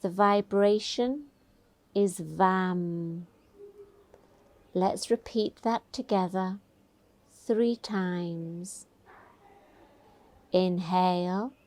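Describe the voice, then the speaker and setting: A woman teaching a guided meditation, giving gentle, soothing, unhurried instructions. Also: lowish voice.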